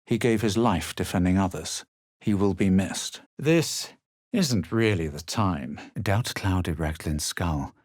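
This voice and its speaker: A man voicing a smug, self-assured adventurer hero in a fantasy video game, with a husky voice and a measured, dramatic delivery. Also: low voice, lively intonation, darkish tone, no room sound, studio quality, full character voice. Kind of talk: video game voice lines, narration and spell calls.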